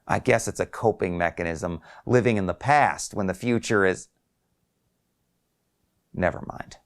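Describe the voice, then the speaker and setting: Male author, first-person story narration, slow pace, slightly low pitch. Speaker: author reading own story.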